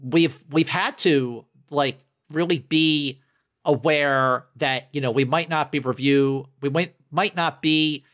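Male guest critic, slightly high-pitched, casual talk, emphatic with halting repetitions. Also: fairly bright tone. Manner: halting, emphatic, repeats words. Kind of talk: casual conversational discussion.

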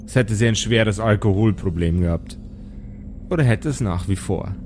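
A man narrating an improvised pen and paper audio drama as game master, deliberately and with dramatic pauses. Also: fairly low pitch, a little mellow, slight background noise.

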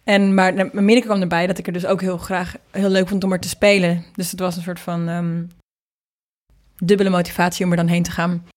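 A woman's voice: fairly low pitch, level tone, a little fast, a little mellow. Woman chatting in an interview, loose storytelling, acts out who said what. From Netherlands. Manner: informal, anecdotal, re-enacts dialogue. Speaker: singer and producer, podcast guest.

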